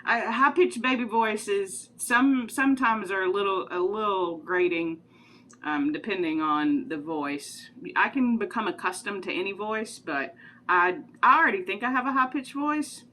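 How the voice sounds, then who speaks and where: A woman's voice: mid-range voice, unhurried, pushed and bright, slight background noise. A woman, chatting casually and rambling through would-you-rather questions with frequent giggles. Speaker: vlogger.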